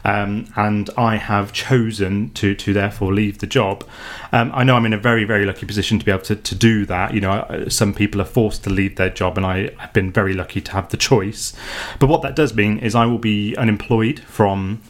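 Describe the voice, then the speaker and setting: A man with a fairly low voice talks casually on a podcast. He pauses often and restarts his sentences. His delivery is easygoing. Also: steady tone. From United Kingdom. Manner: hesitant, many ums and restarts. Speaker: podcast co-host.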